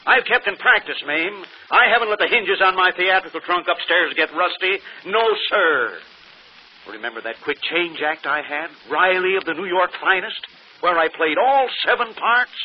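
A proud, excitable has-been stage performer in a vintage radio drama. His voice is high and very animated. The broadcast is muffled. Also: normal speed, a little hiss or hum. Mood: indignant, boastful. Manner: stagy, excitable. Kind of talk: 1940s radio acting.

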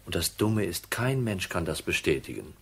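A hard-nosed detective from a crime radio drama. His voice sits very deep with a husky grain. He is dry and keeps pressing. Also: sing-song, normal speed, full character voice. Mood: politely pressing. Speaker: narrator and lead actor. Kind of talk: German crime radio play acting.

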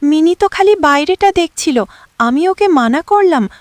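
A small, earnest cartoon chick, voiced by a woman. The child bird talks in a very high voice, close and dry. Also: expressive, moderate pace, darkish tone, dry recording. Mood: reassuring. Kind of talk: children's cartoon story acting.

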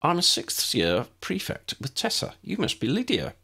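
A man's voice: on the high side, huge pitch swings, moderate pace, gravelly, bright, forceful tone, breathy edge, voice pushed up, exaggerated voice. A man voicing a tall, friendly teenage schoolboy prefect in a storybook, polite and upbeat.

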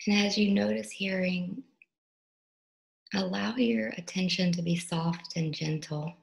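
Lowish female voice, soft gentle meditation teacher, lots of long pauses, soothing instructions. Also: fairly even intonation, creaky, poor audio. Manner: soft, unhurried, with long pauses between phrases. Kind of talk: guided meditation.

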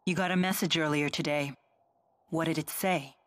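A resolute, otherworldly young woman in a fantasy game. Her voice is low for a woman, composed and matter-of-fact. The recording is dry. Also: fairly even intonation, moderate pace, fairly bright tone, no echo. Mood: blunt, calm. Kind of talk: English game voice acting.

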